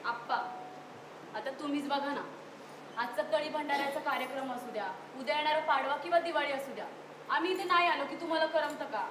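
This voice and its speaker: A woman's voice: high voice, brisk, natural voice. A woman playing an earnest city sister-in-law in a live stage play, arguing her case politely and with emphasis. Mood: earnest, persuasive. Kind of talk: live one-act stage play acting. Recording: echoey distant stage recording with noise.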